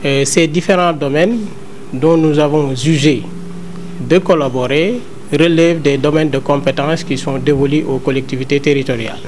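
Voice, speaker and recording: A man's voice: on the high side, steady tone, normal speed, a little mellow, a little hiss or hum. A man with a Senegalese French accent giving a formal statement as a local official, in official phrasing.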